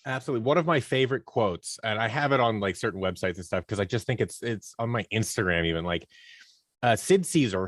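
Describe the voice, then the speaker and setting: Low-voiced man chatting, dry close sound. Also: no room sound. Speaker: interview guest, audio drama producer leading a creative team. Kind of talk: casual conversational interview answers.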